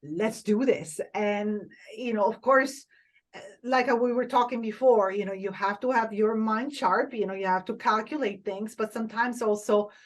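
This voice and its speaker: A woman's voice: a little high, steady tone, moderate pace. A woman from Italy with an Italian accent, speaking English as a second language as an earnest, encouraging podcast guest who fills her talk with "you know". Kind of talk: conversational interview.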